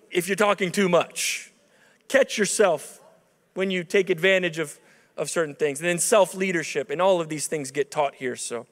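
A man in his thirties preaches from a lectern on a large, dark auditorium stage, talking to the crowd in a conversational way that climbs into emphatic peaks. His voice sits high for a man. The handheld mic carries the long reverb of the big hall. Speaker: chapel speaker. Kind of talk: sermon. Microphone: handheld microphone.

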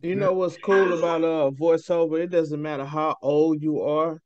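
A man's voice: on the high side, little pitch movement, normal speed, a little mellow. A man with a light voice, co-hosting an interview over a video call and telling easygoing stories. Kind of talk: casual interview chat. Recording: dull lo-fi video call audio.

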